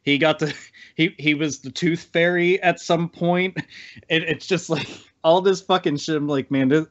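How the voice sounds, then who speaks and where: A man's voice: on the high side, fairly even intonation, moderate pace, muffled recording. A man chatting casually and comically as a talk show panelist, swearing freely in rambling riffs. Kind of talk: casual comic political chat.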